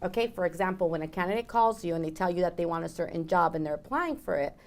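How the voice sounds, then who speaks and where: A woman with a Mexican accent, a guest on a business talk show, who rolls her R's and speeds up when excited. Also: on the low side, fast. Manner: animated and laughing. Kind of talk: casual interview chat.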